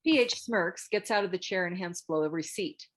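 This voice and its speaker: Female narrator, slightly low register, scripted screenplay narration, table read. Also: normal speed.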